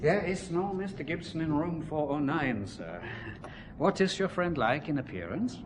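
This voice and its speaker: An actor playing a formal, polite hotel receptionist with a German accent in an audio drama. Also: male voice, fairly high pitch, lively intonation, normal speed, background noise, lightly acted. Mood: formal, helpful.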